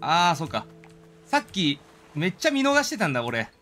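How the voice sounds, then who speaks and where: A man's voice: fairly high pitch, wildly swooping pitch, normal speed, sharp tone, soft background music. A man giving casual live commentary as he streams a game, talking to himself and his viewers. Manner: reads game dialogue aloud in character.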